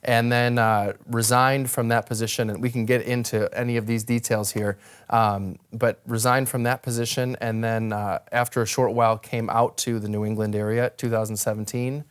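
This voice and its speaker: A man's voice: medium pitch, level tone, moderate pace, smooth. A young American man from Wisconsin telling his own story as an interview guest, articulate and reflective in a conversational way. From northern Wisconsin, United States. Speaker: interview guest, ministry worker.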